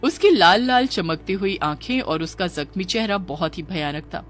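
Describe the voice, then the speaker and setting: A woman's voice: fairly low pitch, expressive, moderate pace, soft background music. A woman narrating a scripted children's ghost story in a dramatic storytelling manner.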